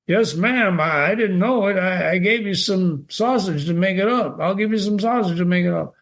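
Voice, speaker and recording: Apologetic stammering shopkeeper read by a man, slightly high voice. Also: normal speed, a bit bright.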